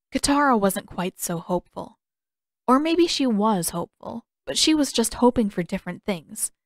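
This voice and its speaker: A woman's voice: mid-range voice, no echo, clean studio sound. A woman reading fan fiction aloud as scripted audiobook narration. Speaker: podfic narrator.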